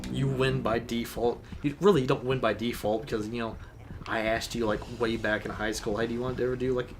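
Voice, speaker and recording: A man's voice: medium pitch, fairly even intonation, fairly quick. Chill young guy rambling over his game, swears a ton, game music playing under him. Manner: laid-back, rambling, swears a lot. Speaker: channel host. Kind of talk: casual gameplay chat.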